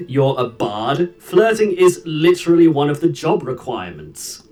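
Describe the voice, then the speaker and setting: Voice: mid-range voice, big pitch swings, a little slow, a little mellow, faint music behind. An actor voicing a mischievous, chaos-stirring adventurer in a video game, sly and goading. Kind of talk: video game character acting.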